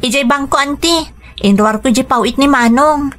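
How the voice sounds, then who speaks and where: An actress with a northern Philippine accent playing a cheerful, dutiful young daughter in a radio drama. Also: female voice, sits high, moderate pace, fairly bright tone. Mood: eager, bright. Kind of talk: Ilocano radio drama acting.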